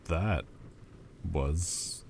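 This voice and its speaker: A man voicing an ancient male demon lord, quietly confessing in a slow, halting way in an audio roleplay. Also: extremely low pitch, slight background noise, heavily acted. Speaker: voice actor.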